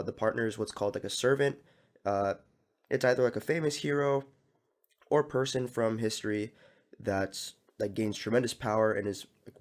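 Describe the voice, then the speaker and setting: A young man hosting a casual solo podcast, his voice a little hoarse and scratchy, rambling easily with lots of "uh"s and restarts. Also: lowish voice. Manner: relaxed rambling with many fillers and restarts. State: hoarse, slightly scratchy from coughing. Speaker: podcast host.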